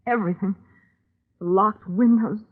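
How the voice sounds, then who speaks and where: A woman's voice: mid-range voice, normal speed, natural voice. An actress playing a shrewd, plucky but shaken young woman, direct and wary, in a 1940s radio thriller. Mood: weary, shaky. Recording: tinny, scratchy old 1940s radio recording.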